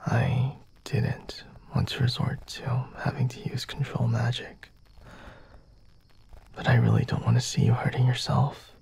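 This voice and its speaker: A man playing a flirty, self-assured vampire lord in an ASMR roleplay, speaking softly and formally in a breathy, often whispered voice with a teasing manner. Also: mid-range voice, heavily acted. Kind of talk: ASMR roleplay, intimate dramatic monologue.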